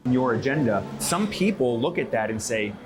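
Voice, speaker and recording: A male news reporter narrating a piece and asking interview questions in a lively, playful manner. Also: mid-range voice, moderate pace, music in the background.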